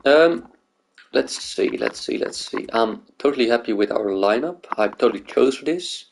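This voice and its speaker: A man's voice: medium pitch, lively intonation, normal speed, gravelly, a little hiss or hum. A man from the Netherlands and Greece, hosting a gaming video and thinking aloud in loose, rambling casual commentary.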